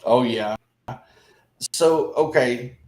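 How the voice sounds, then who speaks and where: A man's voice: mid-range voice, drawn-out words, a little mellow. A man with an Alabama Southern accent interviewing a guest, chatty and playful, acting out local phrases. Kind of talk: casual interview. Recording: roomy echo.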